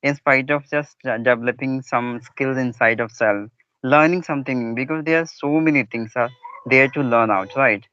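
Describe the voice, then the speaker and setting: A man talks in a polite, formal way at an average pitch and often calls his partner ma'am. His voice sounds dry, with no room around it. The audio is dull and lo-fi. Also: normal speed, bright, forceful tone, smooth, no echo. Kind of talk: conversation practice chat.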